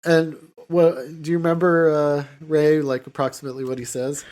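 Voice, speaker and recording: A man's voice: fairly high pitch, steady tone, fairly quick, a little mellow. Rambling casual man, podcast host. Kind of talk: casual film discussion.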